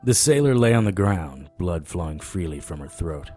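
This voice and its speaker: A man playing a weary, wry monster fighter, narrating an audio drama in the first person with a husky voice. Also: low voice, expressive, normal speed, mellow, faint music behind. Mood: grim, haunted.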